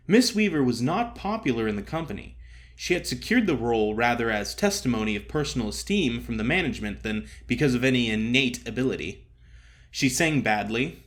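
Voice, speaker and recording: A man narrating a comic story as an actor would, expressive and theatrical with dry humor. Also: medium pitch.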